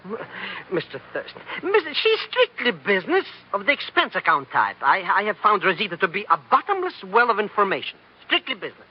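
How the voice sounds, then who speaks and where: High-pitched, animated comic sidekick. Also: male voice, brisk, slightly rough, full character voice. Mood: flustered, insistent. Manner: flustered, stammering, oddly formal wording. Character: comic bumbling male sidekick, excitable and evasive. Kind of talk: 1940s radio drama acting. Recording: muffled old radio recording, faint hiss.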